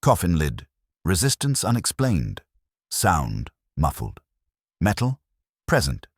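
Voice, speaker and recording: A man voicing a mild, unflappable police inspector in a mystery story, courteous, measured and dry in manner. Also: deep voice, huge pitch swings, husky, dry recording, heavily acted. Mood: mild, unfazed. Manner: mild, unhurried, dryly courteous. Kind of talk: dramatised audiobook-style mystery storytelling.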